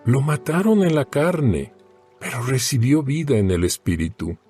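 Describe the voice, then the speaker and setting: A man giving a solemn, measured, dramatized reading of scripture as an audio Bible narrator. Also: mid-range voice, big pitch swings, darkish tone. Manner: solemn, measured, deliberate phrasing. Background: background music.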